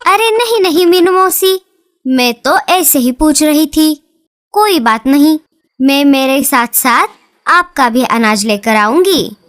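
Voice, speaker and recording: A woman voicing cartoon birds, speaking in a slow, sing-song storybook way. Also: sits high, animated, a bit bright. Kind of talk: cartoon dialogue acting.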